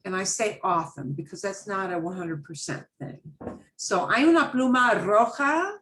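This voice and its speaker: A woman teaches a beginners' Spanish class over a video call. She is chatty and patient, and she drops Spanish words and phrases into her English explanations. Her pitch is medium for a woman. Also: lively intonation, normal speed, fairly bright tone.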